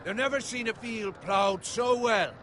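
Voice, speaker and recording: An actor playing a proud, middle-aged noble lord commanding a medieval army in a fantasy game, stately and rousing. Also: male voice, very high voice, measured pace, a bit bright, a little hiss or hum. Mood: wry, formal. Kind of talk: video game fantasy acting.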